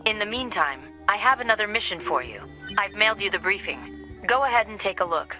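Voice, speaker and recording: A woman playing a cool, authoritative military commander in a video game, giving a formal, measured briefing. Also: mid-range voice, animated, pushed and bright, soft background music. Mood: brisk, official. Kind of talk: video game cutscene acting.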